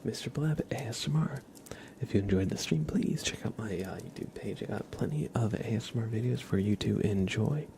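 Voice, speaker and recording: A man hosting an ASMR livestream in a soft, hushed whisper and low murmur, gently and unhurriedly talking to his viewers. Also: expressive, fairly quick, vocal fry, slight background noise. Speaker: livestream host.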